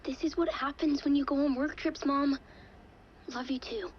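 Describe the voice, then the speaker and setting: A woman's voice: high-pitched, steady tone, moderate pace. A woman playing a cheeky, affectionate young daughter on a phone call in a video game, casual and teasing. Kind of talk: naturalistic video game acting. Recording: dull phone-call sound, faint noise.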